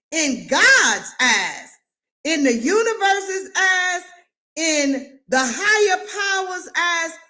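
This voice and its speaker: A woman's voice: on the high side, fairly bright tone. A woman who stutters, hosting a live recap of a reality show with emphatic, preachy commentary. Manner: emphatic and preachy, drawn-out stresses.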